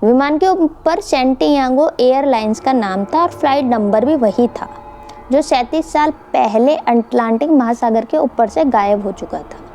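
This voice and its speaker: A woman's voice: sits high, measured pace, mellow, faint music behind. A woman narrating a mystery story for an online channel, informally addressing her listeners as host. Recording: faint background noise.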